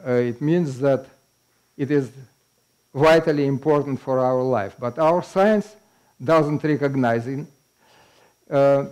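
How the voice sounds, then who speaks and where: An older man with a Russian accent giving an unhurried, explanatory lecture to a small audience. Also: medium pitch, darkish tone. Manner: unhurried, explanatory, with occasional laughs. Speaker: guest lecturer. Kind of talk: public lecture. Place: small meeting room with audience. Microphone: headset mic.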